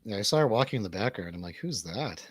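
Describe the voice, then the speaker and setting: A man's voice: fairly low pitch, expressive, fast, husky, no echo, clean studio sound. A man hosting a talk stream, casually chatting and asking questions. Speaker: livestream show host. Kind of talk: casual chat, interviewing. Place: home studio, seated on a couch.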